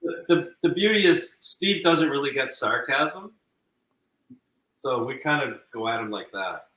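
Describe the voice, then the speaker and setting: A man's voice: mid-range voice, lively intonation, unhurried, sharp tone, echoey room, a little hiss or hum, rough recording. A man, a guest, reading out quotes in a dry, sarcastic way in casual chat.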